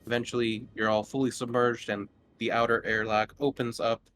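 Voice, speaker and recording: A man narrating a tabletop horror game as game master in a halting, conversational way. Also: medium pitch, little pitch movement, a bit bright, a little hiss or hum.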